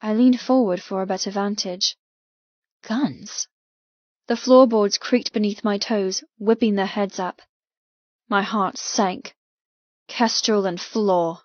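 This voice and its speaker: A woman reading first-person fiction as an audiobook narrator, with dramatic, measured storytelling. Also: medium pitch, steady tone, no echo, lo-fi sound.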